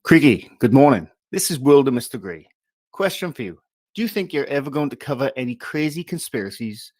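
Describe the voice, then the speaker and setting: A man's voice: medium pitch, very animated, a little fast, a little mellow, dry recording. A man leaving a friendly, direct question for a live show.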